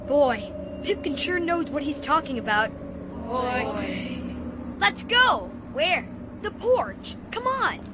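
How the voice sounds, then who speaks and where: A woman playing an excitable, wide-eyed adventurous young boy, breathless and exclamatory, in a dramatized audiobook. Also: high-pitched, takes it slowly, heavy background noise, heavily acted. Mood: eager wonder.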